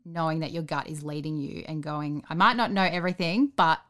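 Low-voiced woman interviewer. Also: a little fast, fairly bright tone. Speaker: interviewer, podcast host. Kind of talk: casual conversational interview.

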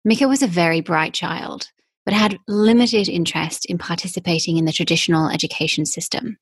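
A woman hosting a podcast, reading scripted narration in a measured way. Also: lowish voice, no echo. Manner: measured, clear delivery of written lines. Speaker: podcast host.

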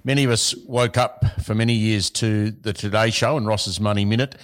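A man, hosting an interview podcast in a measured, friendly way. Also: lowish voice, steady tone. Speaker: podcast host.

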